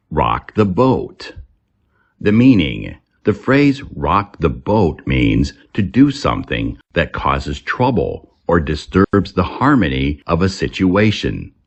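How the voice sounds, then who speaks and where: Very deep, creaky man reading an English lesson. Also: dull audio. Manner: deliberate, repeats key phrases. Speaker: English teacher. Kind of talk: scripted lesson narration, reading vocabulary and quiz items.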